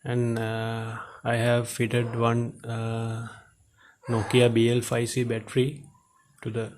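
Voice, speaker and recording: A man giving an unscripted product demonstration in halting, hesitant short phrases, as the presenter of a gadget teardown video. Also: medium pitch. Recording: clear steady background noise.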